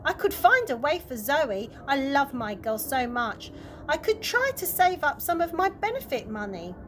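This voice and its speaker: A woman reader playing a meek dad in a children's storybook. The voice is high for the part, pleading and protesting in a soft-hearted way, over clear background noise. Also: sing-song, normal speed.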